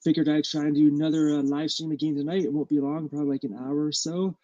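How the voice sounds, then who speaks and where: A man with a stuffy nose, hosting a casual live stream about his small business and talking haltingly with lots of ums and uhs. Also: fairly high pitch, level tone, dark tone, dry recording, highs cut off.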